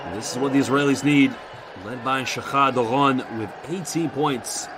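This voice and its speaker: A man with a London accent doing live basketball commentary, chatty and reading out viewer shout-outs between plays. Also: medium pitch, moderate pace, a crowd in the background. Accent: London English. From England. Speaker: play-by-play commentator.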